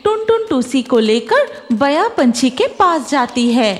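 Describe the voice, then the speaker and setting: A woman's voice: high voice, big pitch swings, measured pace, background noise. A woman narrating a scripted children's story as its storyteller.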